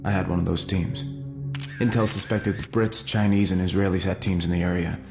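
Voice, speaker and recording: An actor playing a hardened, defiant soldier under interrogation in a video game, giving terse, matter-of-fact accounts. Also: male voice, deep voice, steady tone, brisk, music in the background. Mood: terse, grim. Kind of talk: dramatic cutscene acting, recounting missions.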